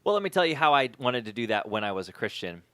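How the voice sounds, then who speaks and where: A man's voice: medium pitch, sing-song, fast, a bit bright, clear, resonant tone, dry recording. A man hosting a podcast, chatting casually in a wry, joking way. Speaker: podcast host. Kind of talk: casual chat and interview.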